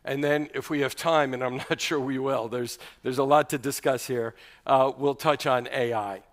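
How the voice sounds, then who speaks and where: A man moderating a conference panel on radio, laying out topics in a brisk, structured way. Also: medium pitch, steady tone.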